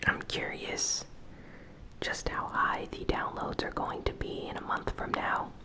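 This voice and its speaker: Whispering woman, slow ASMR news host. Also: poor audio. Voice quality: breathy, unvoiced whisper. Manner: soft, unhurried, informal commentary.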